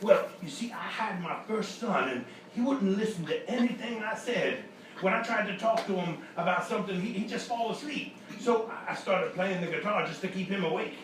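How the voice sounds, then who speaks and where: An actor playing an old, folksy Delta blues musician in a live radio comedy sketch, telling rambling tales with halting repeats. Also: male voice, sits high, lively intonation. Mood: genial rambling. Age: older. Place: live show in a hotel room. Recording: echoey live stage, distant mic, noisy.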